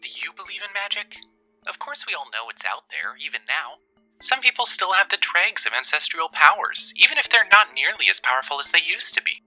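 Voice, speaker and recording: A performer voicing an earnest, mystified storyteller in an audio drama. The voice is very bright and lively in pitch, confiding, with music underneath. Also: rough recording. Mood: wondering. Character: earnest, mystified listener telling an eerie story. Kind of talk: dramatic first-person storytelling.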